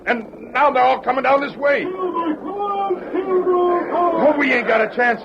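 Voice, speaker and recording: A man's voice: very high-pitched, lively intonation, takes it slowly, exaggerated voice. A man playing a jittery, cowardly outlaw henchman in a radio western, with folksy speech and a nervous stammer. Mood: panicked. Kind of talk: 1940s radio western acting. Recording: muffled, noisy old radio recording.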